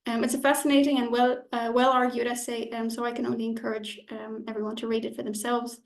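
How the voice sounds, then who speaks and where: A woman's voice: on the high side, little pitch movement, quick pace, creaky. A woman giving a prepared academic presentation as an editor at a roundtable, with some hesitations. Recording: roomy, echoing sound.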